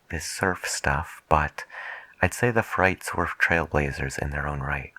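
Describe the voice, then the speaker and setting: A man playing a late-night radio DJ in an ASMR roleplay, soft-spoken and musing, sometimes dropping to a near-whisper, unhurried as he introduces records. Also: sits low, no echo, heavily acted. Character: mellow late-night radio DJ, male, music-loving, unhurried. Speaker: radio DJ host.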